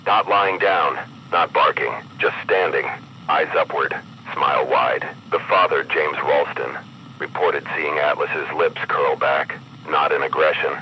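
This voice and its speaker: A man reading a scripted horror emergency broadcast as an announcer, in a grave, measured, ominous manner. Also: extremely low pitch, fairly even intonation. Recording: muffled broadcast with clear background noise.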